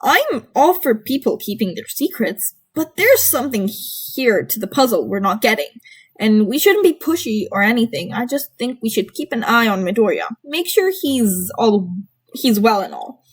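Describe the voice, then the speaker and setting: A woman's voice: fairly high pitch, big pitch swings, moderate pace, husky. A woman voicing a loyal, caring teenage boy from a superhero school, earnest and casual, in a story reading. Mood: concerned.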